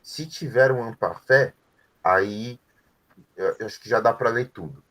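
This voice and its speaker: A man's voice: lowish voice, a little slow, fairly bright tone. A man teaching French to adult students, explaining words patiently and checking in with frequent tag questions. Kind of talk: explaining grammar in an online class.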